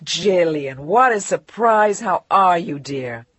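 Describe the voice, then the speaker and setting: A woman voicing a smooth, urbane male magazine editor in his late thirties who talks in breezy, clipped phrases. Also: lowish voice, expressive, slow pace, fairly bright tone, no echo, full character voice. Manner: breezy, clipped, calls everyone dear.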